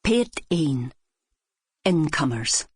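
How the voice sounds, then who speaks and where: Low-pitched Scottish woman narrating, close and dry. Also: very animated, slightly rough, no echo. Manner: measured, descriptive reading. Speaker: audiobook narrator. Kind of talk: scripted literary narration.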